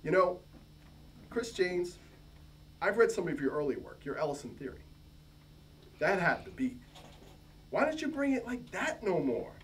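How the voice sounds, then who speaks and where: A man's voice: sits high, very animated, measured pace, long pauses. A man voicing a brash, confrontational American hip-hop professor in a swaggering, emphatic, slangy way during a book reading. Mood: smooth, needling. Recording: distant mic, faint room noise.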